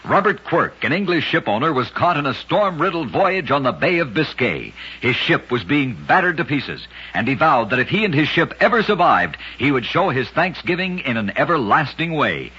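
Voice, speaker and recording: A man tells strange tales in the style of a vintage radio host, with a very raspy, forceful voice. His delivery is emphatic and dramatic, swinging widely in pitch. The recording is dull and old. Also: very animated, normal speed, few pauses. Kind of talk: scripted vintage radio storytelling of odd facts.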